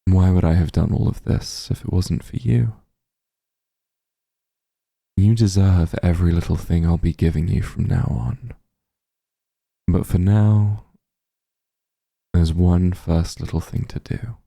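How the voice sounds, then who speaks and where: A man playing a doting, possessive demon lord in an intimate ASMR roleplay, speaking in a tender, coaxing hush with a dark, husky voice, close to the microphone. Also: sits low, measured pace, long pauses, no echo, exaggerated voice. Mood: tender, reassuring. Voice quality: very dark, husky, gravelly, breathy. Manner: tender, coaxing, teasing, hushed. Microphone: close microphone, dry.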